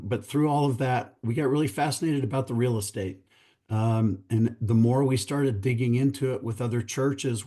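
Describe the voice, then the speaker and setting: A middle-aged man, hoarse from losing his voice, giving an earnest, conversational presentation to pastors over a video call. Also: medium pitch, warm tone. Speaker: church real estate company founder.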